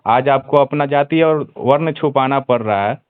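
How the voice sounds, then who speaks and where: A man's voice: medium pitch, normal speed, clean tone, dry recording. A man debating heatedly as host on a phone call, insistently piling up rhetorical questions. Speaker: host taking phone calls.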